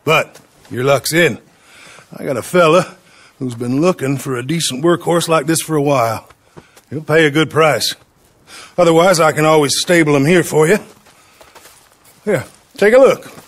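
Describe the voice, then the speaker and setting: A man's voice: a little high, moderate pace, long pauses, lightly acted. A man acting affable American horse dealer. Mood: eager, friendly. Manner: salesmanlike, chatty. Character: affable, folksy Old West horse dealer.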